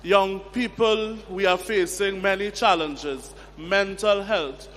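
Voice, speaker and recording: Male moderator, high voice, slow prompting delivery, hall reverb. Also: a bit bright, slight background noise. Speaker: session chair. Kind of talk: moderating a youth panel. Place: large conference hall.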